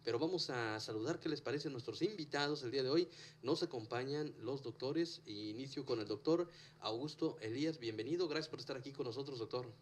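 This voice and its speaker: A man's voice: mid-range voice, a little fast, a little hiss or hum. A man hosting an interview, friendly and chatty, asking questions with hesitant fillers. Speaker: radio show host.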